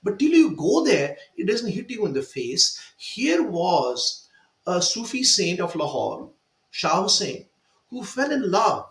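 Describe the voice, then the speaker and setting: A man's voice: high-pitched, fairly bright tone, roomy sound, low-quality recording. A man telling a personal story in a panel discussion, emphatic and fond of rhetorical asides. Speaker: guest speaker.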